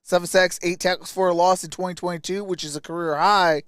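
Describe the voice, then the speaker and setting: A man's voice: sits high, steady tone, quick pace, a bit bright, clean tone, no echo, studio quality. A man hosting a live stream, giving casual, colloquial sports commentary like a die-hard fan.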